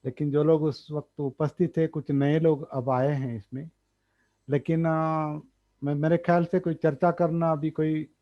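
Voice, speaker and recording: Slow-speaking older man teaching meditation. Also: a little high, little pitch movement. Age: 60s. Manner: thinking aloud, drawn-out pauses, repeats key words. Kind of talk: slow explanatory dharma talk. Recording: dull lo-fi recording.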